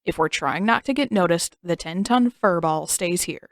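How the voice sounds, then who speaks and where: Woman reading as a sarcastic teen boy, grumpy and dry, a touch lower than her own voice, close dry recording. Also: animated, normal speed, no room sound. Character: sarcastic teenage boy warrior, grumbling skeptic.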